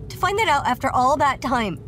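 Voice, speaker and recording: A woman's voice: high-pitched, big pitch swings, normal speed. An actress playing a bitter, foul-mouthed fourteen-year-old girl in an audio drama, blunt and sarcastic in teenage slang. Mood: angry, exasperated. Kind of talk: naturalistic scripted audio drama acting. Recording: noisy outdoor ambience with vehicle sounds.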